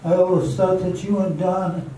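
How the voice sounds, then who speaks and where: An amateur actor playing a loyal, earnest friend who acts as peacemaker in a radio drama. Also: male voice, sits high, fairly even intonation, normal speed, noisy background, close to an everyday voice. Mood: reproachful, uneasy. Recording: very echoey hall, distant mic, music behind.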